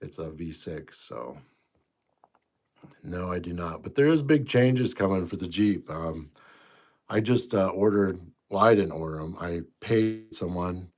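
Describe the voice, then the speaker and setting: Deep-voiced stuffy middle-aged man. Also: expressive, measured pace. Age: 50s. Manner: easygoing, rambling, trails off quietly. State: congested, stuffy nose. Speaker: livestream host. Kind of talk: casual livestream chat reading comments.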